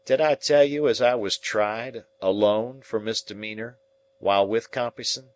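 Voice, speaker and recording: A man playing a rough old convict. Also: lowish voice, normal speed, lightly acted. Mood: grim, confiding. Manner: rough, ungrammatical dialect speech. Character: rough old male convict telling his past.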